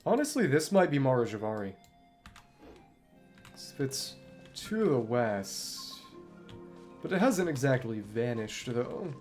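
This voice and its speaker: A man's voice: mid-range voice, big pitch swings, slow pace, long pauses, a little mellow. A man giving casual gameplay commentary as a streamer, thinking aloud and reading game text out as he plays. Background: game music.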